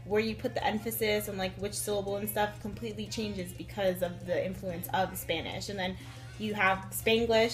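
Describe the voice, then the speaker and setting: A woman giving a thoughtful, casual interview answer, correcting herself as she goes and saying "like" often. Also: medium pitch. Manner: thoughtful, self-correcting, filler like.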